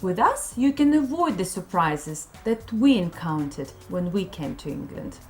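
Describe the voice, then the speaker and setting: A woman's voice: fairly low pitch, big pitch swings, unhurried, faint music behind. A woman with a Russian accent, narrating a scripted explainer about English customs in a friendly, explanatory way. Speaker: co-host teaching about English culture.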